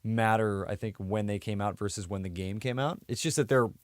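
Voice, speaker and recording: Lowish-voiced man chatting casually on a podcast. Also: fairly quick, no room sound. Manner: hedging, thinking aloud. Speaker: podcast co-host. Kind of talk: casual chat.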